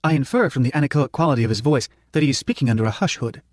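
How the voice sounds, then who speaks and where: A man voicing a calm, polite male computer that talks in a formal, precise way. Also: medium pitch, very fast, dry recording, clean studio sound, lightly acted.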